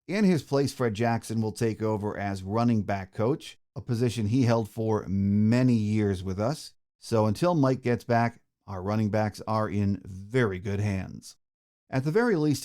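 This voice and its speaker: A man's voice: fairly low pitch, mellow. A man hosting a sports podcast, giving his commentary and interviewing a guest. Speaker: podcast host.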